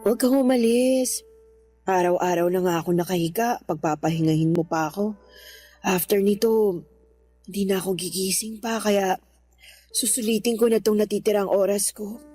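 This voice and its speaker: An actress playing a wry, teasing sick Filipina. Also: female voice, mid-range voice, normal speed, long pauses, warm tone, slightly rough, soft background music, close to an everyday voice. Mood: weary, pleading. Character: sick woman joking wryly about her cancer with her best friend. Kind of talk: Filipino radio drama acting.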